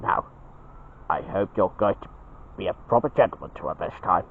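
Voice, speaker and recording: A man voicing a patient, gently encouraging father bear in a homemade cartoon, murmuring with many hums and hesitant pauses. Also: deep voice, gravelly, phone-quality sound, slight background noise, voice pushed down. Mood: gentle, forgiving.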